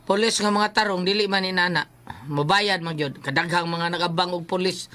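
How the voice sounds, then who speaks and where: A person with a Cebuano accent speaking as an animated co-host in a casual, joking advice chat, repeating phrases for emphasis. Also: medium pitch, normal speed.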